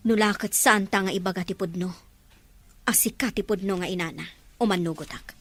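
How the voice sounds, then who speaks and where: A woman's voice: mid-range voice, brisk, a bit bright, soft background music, lightly acted. A woman with an Ilocano Filipino accent playing an anxious, loving middle-aged mother in an audio drama. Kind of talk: radio-drama dialogue.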